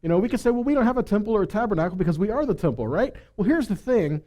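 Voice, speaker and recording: A man's voice: sits high, animated, rapid-fire, dark tone. A man giving a conversational sermon as a preacher, teaching with rhetorical questions and frequent check-in tags.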